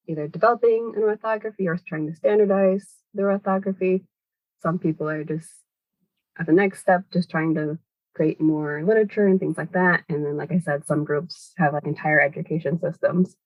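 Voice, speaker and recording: A woman's voice: deep voice, no room sound, muffled recording. A woman guest on a podcast interview, giving long, thoughtful answers full of hedges like "kind of" and "sort of". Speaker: podcast guest, language education organizer. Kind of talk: explanatory conversational interview answers.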